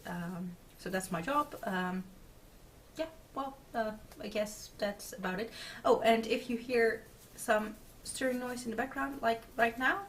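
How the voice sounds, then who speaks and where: A woman's voice: a little high, a bit bright, distant mic, a little hiss or hum. A Dutch woman in her twenties, English not her first language, chatting casually to camera as host of her knitting podcast, with lots of fillers and little laughs. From Netherlands. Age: 20s. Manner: chatty, many filler words and giggles. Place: small room at home, indoors.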